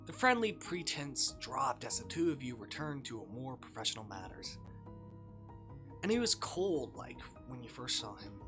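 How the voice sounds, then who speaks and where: Live read-aloud narrator, mid-range voice, informal and a bit stumbly, music clearly underneath. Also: medium pitch, big pitch swings, moderate pace. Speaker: streamer reading a visual novel aloud.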